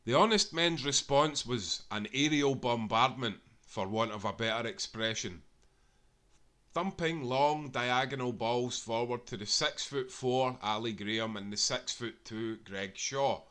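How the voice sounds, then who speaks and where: A man's voice: medium pitch, unhurried, pushed and bright. A Scottish man reading aloud a football history tale, earnestly and with small self-corrections along the way. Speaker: narrator.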